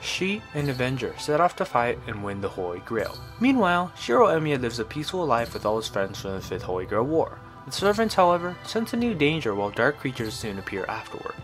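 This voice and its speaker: A man delivers a scripted video review in a medium-pitched voice. He narrates as a reviewer presenting his written piece. Faint music plays behind him. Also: sing-song, fairly quick, few pauses. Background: music under the voice.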